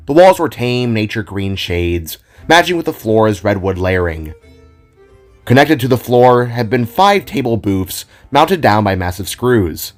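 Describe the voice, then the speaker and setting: Animated male narrator over music. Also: mid-range voice. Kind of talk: scripted narration of an audio drama.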